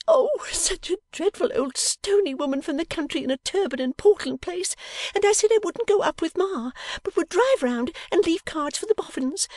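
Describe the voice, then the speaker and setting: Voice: very high voice, darkish tone, no room sound, studio quality, pitched above the performer's own voice. A reader voicing a silly, tearful young lady who gushes breathlessly while sobbing.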